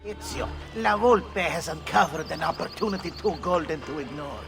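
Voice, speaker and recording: An actor playing a florid, scheming young thief in a game cutscene, with an Italian accent. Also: male voice, fairly high pitch, moderate pace, creaky, over music. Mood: gleeful scheming. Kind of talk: dramatic video game cutscene acting.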